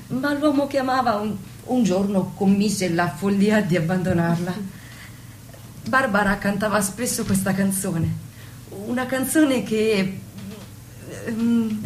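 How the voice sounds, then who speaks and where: An Italian stage actress playing a wistful, naive young wife, speaking in an earnest, plaintive, musing way in a radio play. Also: female voice, mid-range voice, moderate pace, a little mellow, slight background noise, natural voice. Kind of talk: stage-play dialogue with snatches of song.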